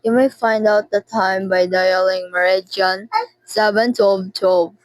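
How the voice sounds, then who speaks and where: A polite, detached woman telephone operator played by a teenage girl in a radio play. She speaks slowly and formally, as if by routine, in a dry recording. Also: mid-range voice, steady tone, no room sound, close to an everyday voice, low-quality recording. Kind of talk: radio drama acting.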